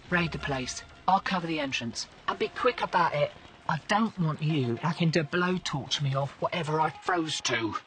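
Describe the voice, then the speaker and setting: An actress playing a cocky, slangy resistance field agent in a video game. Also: female voice, deep voice, a bit bright, recorded from a distance, a little hiss or hum. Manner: brash, slangy. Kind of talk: video game mission dialogue.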